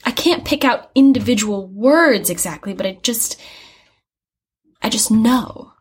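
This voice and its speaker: A woman's voice: fairly high pitch, expressive, a little slow, husky. A young woman playing an earnest, chatty art student in an audio drama, rambling and hesitant as she explains herself. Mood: searching, explaining. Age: young adult. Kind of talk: naturalistic audio drama acting.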